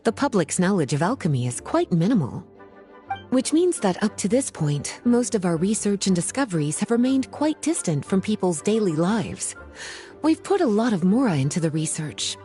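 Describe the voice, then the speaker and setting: A woman's voice: medium pitch, big pitch swings, dark tone, faint music behind, close to an everyday voice. Woman acting a coy, lilting scholarly witch. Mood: explanatory. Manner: coy, teasing, polished and unhurried. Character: playful, languid scholarly witch librarian, adult woman, teasing. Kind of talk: video game voice acting, scripted dialogue.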